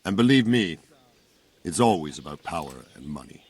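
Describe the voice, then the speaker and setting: A low male voice as a seasoned soldier in a medieval game drama. He is plain-spoken and grave, and explains matters patiently, as if to a younger man. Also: very animated, moderate pace, breathy edge, heavily acted. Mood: grave, instructive. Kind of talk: video game cutscene acting.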